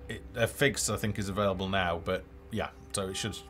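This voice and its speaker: Male game developer, deep voice, unhurried explanatory livestream commentary over music. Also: steady tone, fairly bright tone. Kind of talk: casual live game demo commentary. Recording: music behind the voice.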